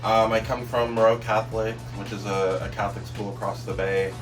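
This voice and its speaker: A man's voice: fairly low pitch, level tone, brisk. A young man who teaches history, speaking earnestly with many hesitations. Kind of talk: interview clip. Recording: distant mic with music bed.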